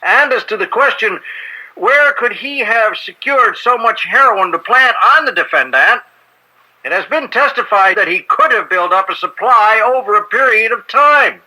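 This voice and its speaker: Slick defense attorney, American, high-pitched, piercingly bright, formal pointed oratory, smug, radio drama. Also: male voice, lively intonation, moderate pace, exaggerated voice, low-quality recording. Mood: indignant, oratorical. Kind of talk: old-time radio courtroom drama acting.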